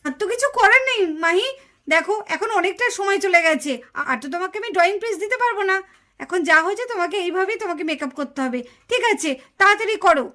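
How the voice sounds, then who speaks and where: A woman's voice: very high-pitched, lively intonation, fast, bright, forceful tone, heavily acted. A woman voicing a kindly, encouraging auntie who judges children in a puppet cartoon. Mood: firm. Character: kindly auntie judging a children's drawing contest. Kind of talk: Bengali puppet-show cartoon acting.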